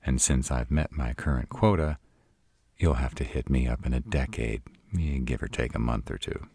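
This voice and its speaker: A man's voice: extremely low pitch, normal speed, creaky, warm tone, husky, dry recording, full character voice. A man playing a sarcastic, proud city-bred vampire in a scripted audio roleplay, with dry, sardonic delivery. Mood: exasperated sarcasm. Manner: sardonic, dry, rhetorical questioning.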